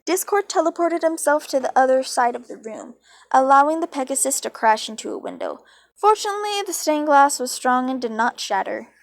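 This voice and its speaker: A woman's voice: sits high, animated, a little fast, no room sound. A woman reading a story aloud in an amateur way, breaking off for blunt, informal asides. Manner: informal, blunt, sweary commentary. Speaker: fan fiction reader.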